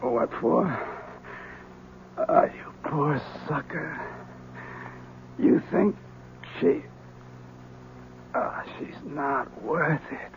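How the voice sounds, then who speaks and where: An actor playing a desperate, bitter husband in halting, overwrought 1970s radio drama style, on a tinny old broadcast. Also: male voice, a little high, expressive, gravelly, a little hiss or hum, full character voice. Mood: bitter, dying. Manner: halting, drawn-out, overwrought delivery. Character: desperate, bitter husband out to kill his wife.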